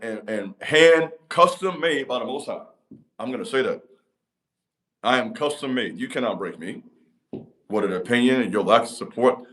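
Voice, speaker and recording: A man, giving a motivational talk to camera in an emphatic, repetitive cadence. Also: fairly bright tone. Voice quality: deep voice. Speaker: channel host.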